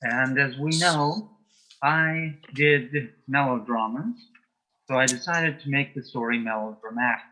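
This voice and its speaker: A man answering as an interview guest in a conversational way, with brief, deliberate replies. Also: medium pitch, bright, forceful tone, a little hiss or hum. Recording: dull, lo-fi sound.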